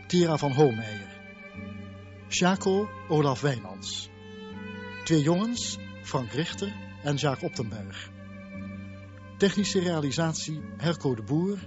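A man's voice: fairly high pitch, very animated, slow pace, pauses often. A man working as a closing announcer, reading scripted credits for a radio play. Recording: dull radio recording with music behind.

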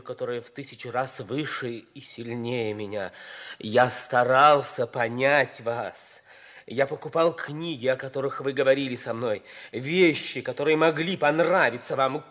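An actor playing an obsessive, bitter young schemer in a theatrical 1950s Soviet radio play. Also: male voice, medium pitch, a bit bright.

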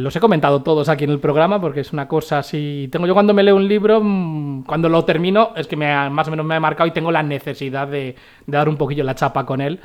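A talkative man with a fairly high voice, co-hosting a radio show. He rambles and restarts as he chats through his book picks. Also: clean tone. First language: not Catalan. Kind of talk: casual radio chat reviewing books.

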